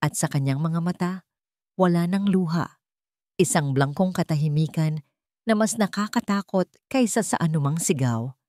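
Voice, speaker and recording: A woman narrating a dramatic family story in a slow, scripted storytelling read. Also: sits low, dry recording, clean studio sound. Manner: slow, dramatic, even reading.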